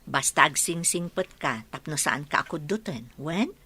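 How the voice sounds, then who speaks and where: A woman's voice: low voice, animated, normal speed, sharp tone, husky, heavily acted. A woman playing a grumbling but caring, no-nonsense village aunt in a radio drama, blunt and scolding. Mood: stern concern.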